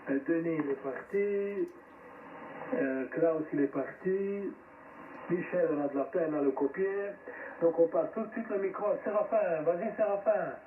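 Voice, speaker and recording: A man's voice: sits high, measured pace. A man hosting a casual amateur radio net in a friendly, thankful way, heard through a radio transmission. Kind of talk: casual ham radio exchange. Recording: narrow-band radio reception, roomy, distant, faint noise. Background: faint radio noise.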